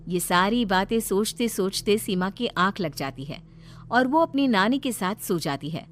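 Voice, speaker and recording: A woman's voice: medium pitch, a little hiss or hum. A woman narrator reading a scripted story aloud.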